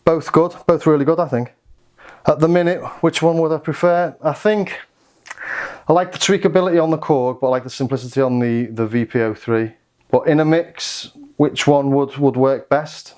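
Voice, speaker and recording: Clear-voiced man chatting through a gear demo. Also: on the high side, moderate pace, a little mellow. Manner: hesitant, thinking aloud. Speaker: synthesizer reviewer. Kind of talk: casual gear demonstration chat.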